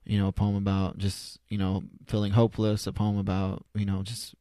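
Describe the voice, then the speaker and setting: A man in his twenties with a low, flat voice talks as a podcast guest. He is casual and halting, circling back over his words in a self-effacing way, in a dry home studio. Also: no room sound. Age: 20s. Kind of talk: casual, unscripted interview answers. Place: converted garage home studio.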